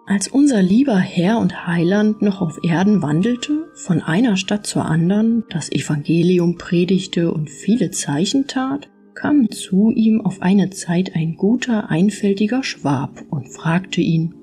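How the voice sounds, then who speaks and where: Woman reading old fairy tales for an audiobook, kinda mellow, quiet music behind. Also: medium pitch, animated, measured pace, hardly any pauses, slightly rough. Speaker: audiobook narrator. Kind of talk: scripted fairy-tale narration.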